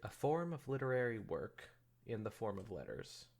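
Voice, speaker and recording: A man with a lowish voice full of gravelly fry co-hosts a podcast. He talks casually and informally, breaking in with commentary and offhand asides. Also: big pitch swings, normal speed, a little mellow. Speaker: podcast co-host.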